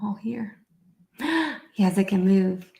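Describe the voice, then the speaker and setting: A middle-aged woman from Brazil, a native Portuguese speaker, hosting an informal live online lesson in a chatty, animated way that addresses viewers directly. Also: mid-range voice, slow, gravelly, background noise. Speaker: course instructor and webinar host.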